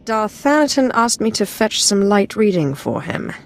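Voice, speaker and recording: An actress playing a composed, imperious female Sith lord in a game, with a dry, quietly menacing manner. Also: mid-range voice, big pitch swings, moderate pace. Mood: dry threat.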